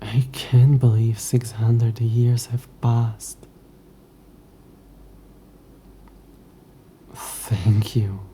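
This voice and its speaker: Very dark, slow-speaking ancient demon played by a man. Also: mid-range voice, flat delivery, a little hiss or hum. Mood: softly grateful. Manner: slow, formal, wry, murmuring. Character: ancient possessive male demon, sardonic, curious, teasing. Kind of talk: intimate audio roleplay to the listener.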